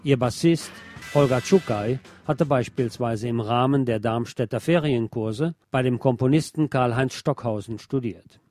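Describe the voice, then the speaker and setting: Man, medium pitch, soft dark tone, scripted radio narration, articulate literary delivery. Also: no room sound, clean studio sound. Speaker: radio presenter reading a music feature.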